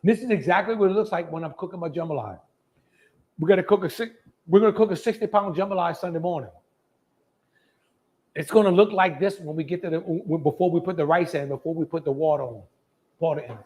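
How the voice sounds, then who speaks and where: A man's voice: a little high, quick pace, pauses often, darkish tone. A man hosts a casual live cooking show. He talks in a folksy, chatty way, with plenty of down-home phrasing, as if to regulars.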